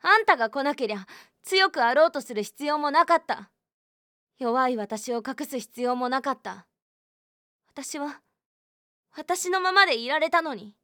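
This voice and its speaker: A woman playing a stubborn, lonely high school girl who hides her weakness, speaking in a quiet, confessional voice in a voice drama. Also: lively intonation, a little slow, pauses often, no room sound, studio quality, exaggerated voice. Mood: anguished. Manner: quiet, confessional, rising to anguished outbursts. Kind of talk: voice drama acting.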